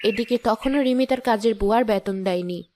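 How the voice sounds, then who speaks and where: A woman's voice: a little high, a little fast, warm tone, pitched below the performer's own voice, close to an everyday voice. A woman giving scripted narration for a cartoon moral story. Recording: music behind the voice.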